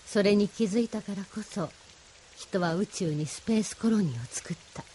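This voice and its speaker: An actress plays an idealistic woman mentor in a Japanese audio drama. She speaks in a solemn, persuasive, feminine manner at a mid-range pitch. Faint rain and hiss sit behind her. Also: moderate pace, dark tone, natural voice. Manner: didactic, feminine speech, solemn.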